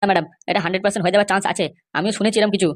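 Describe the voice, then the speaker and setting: A worried young cartoon petitioner, voiced by a woman, speaking at a breakneck pace in a middling female pitch. The manner is polite yet nagging and insistent. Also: steady tone, rapid-fire, no echo, close to an everyday voice. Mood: anxious, insistent. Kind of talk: amateur comedy cartoon dialogue.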